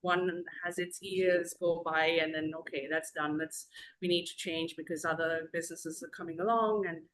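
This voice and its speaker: A woman from Zambia, a podcast guest, telling her story in a rambling, conversational way with many pauses over a video call. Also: on the low side, steady tone, fairly bright tone, low-quality recording.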